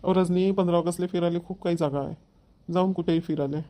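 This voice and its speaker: Playful village husband, played by a man, rapid delivery, slightly high voice, rural Maharashtrian accent, cartoon. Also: fairly even intonation, dark tone, close to an everyday voice. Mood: playful, coaxing. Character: playful, fast-talking village husband, easygoing family man. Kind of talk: cartoon family dialogue.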